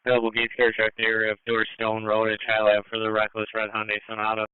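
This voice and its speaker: A man's voice: on the low side, completely flat delivery, fast talker, clear, resonant tone. A man working as a police dispatcher, making clipped, procedural calls over a two-way radio. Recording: phone-like police scanner radio audio.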